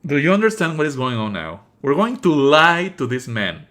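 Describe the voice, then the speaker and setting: A man's voice: on the high side, sing-song, moderate pace, fairly bright tone. A man doing live let's play commentary as a streamer, emphatic, exasperated and prone to swearing.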